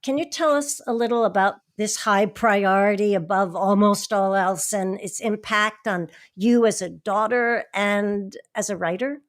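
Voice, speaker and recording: A woman's voice: medium pitch, measured pace, few pauses. A woman hosting, introducing and interviewing in a scripted, presenting style. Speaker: host.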